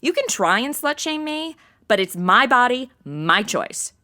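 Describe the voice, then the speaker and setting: A woman's voice: fairly high pitch, sing-song, unhurried, sharp tone, full character voice. An actress playing a brash, flippant, clueless young modern party woman with slangy talk in a comedic audio drama. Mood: breezy.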